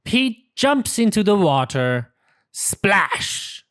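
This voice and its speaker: A woman's voice: medium pitch, very animated, drawn-out words, no room sound, studio quality. A woman reading a picture book aloud to young children, enunciating simple sentences carefully. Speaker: children's story reader.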